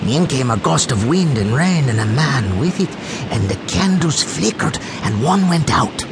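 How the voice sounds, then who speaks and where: An actor playing a portly, obsequious tavern keeper and storyteller in an audio drama, deferential with a sly, dry edge. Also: male voice, medium pitch, animated, a little slow, background noise. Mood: narrating. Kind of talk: audio drama acting with narration.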